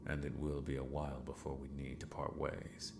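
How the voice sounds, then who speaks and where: A very deep-voiced villain mentor played by a man speaks slowly in a fantasy ASMR roleplay. He is courteous, scheming and a little unhinged, with a gravelly creak to his formal, theatrical phrasing. Noticeable noise sits behind the voice. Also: completely flat delivery. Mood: amused, gently affectionate. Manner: slow, formal, theatrical, sometimes muttering to himself.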